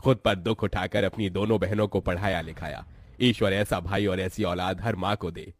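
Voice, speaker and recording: A man's voice: low-pitched, fairly even intonation, normal speed, dry recording, clean studio sound. A man voicing a kindly older village uncle in a cartoon story, sincere and benevolent. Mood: admiring.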